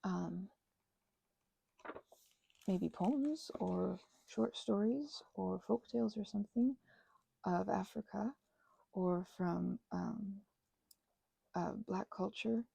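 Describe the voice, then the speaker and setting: A woman rambling casually and unscripted while crafting, halting and wandering off on tangents, with excitable, gushing exclamations. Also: mid-range voice, creaky, slightly rough, no room sound, poor audio. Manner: halting, digressive, with gasps and exclamations. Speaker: craft and ASMR channel creator.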